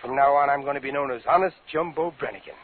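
A man's voice: mid-range voice, quick pace, natural voice. An actor playing a cocky, slangy ex-convict crook in his fifties in a 1950s radio drama. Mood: feigned contrition. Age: 50s. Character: tough, wisecracking 51-year-old ex-convict crook. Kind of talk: 1950s radio drama acting and first-person narration. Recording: tinny, noisy old radio broadcast.

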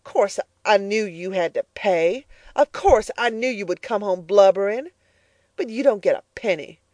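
Woman voicing a stingy, harsh farm mother, loud and scolding, middle-range voice, dry recording. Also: mid-range voice, expressive, fairly quick, no echo, lightly acted. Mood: furious. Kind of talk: audiobook character voice.

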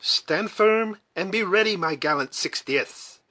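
A man's voice: high voice, measured pace, pushed and bright, pitched above the performer's own voice, full character voice. A man voicing an old, fierce and emotional military commander and father in an audiobook, with impassioned delivery. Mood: commanding. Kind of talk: dramatic audiobook character reading.

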